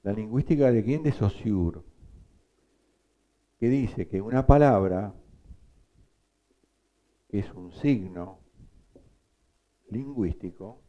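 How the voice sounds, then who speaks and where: A man teaching a psychoanalysis class, explaining slowly and quizzing his students. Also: fairly low pitch, expressive, dark tone, highs cut off. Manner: slow, explanatory, asks the class questions. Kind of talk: lecture.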